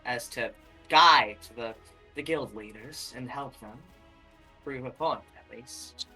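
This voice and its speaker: A roleplay player speaking in character, measured and cryptic, often trailing off and changing the subject. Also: mid-range voice, sharp tone, faint music behind, poor audio. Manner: measured, cryptic, keeps saying anyways.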